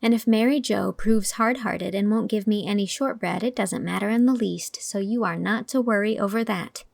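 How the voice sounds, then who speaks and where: A woman voicing a kind, cheerful young schoolteacher in an audiobook, emphatic and warmly reassuring. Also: mid-range voice, moderate pace, vocal fry, dry recording. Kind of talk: audiobook character acting.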